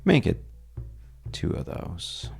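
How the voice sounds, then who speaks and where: A man playing a wry, roguish, well-dressed stranger at a bar in an intimate audio roleplay, teasing and telling stories with dramatic pauses in a gravelly voice. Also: faint music behind, natural voice. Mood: playful, inviting. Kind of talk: intimate audio roleplay monologue addressed to the listener.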